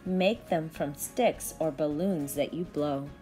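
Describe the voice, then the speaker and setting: A woman's voice: low voice, takes it slowly, warm tone. Woman reading aloud to children, music behind. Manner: simple, instructive phrasing aimed at young children. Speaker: early-grade teacher. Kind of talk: children's read-aloud and lesson talk to pupils.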